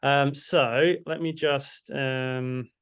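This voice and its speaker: Smooth, flat-voiced British man presenting. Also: mid-range voice, very slow. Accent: non-Mancunian British. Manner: hesitant, many fillers and restarts. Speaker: copywriter, guest speaker. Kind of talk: webinar presentation.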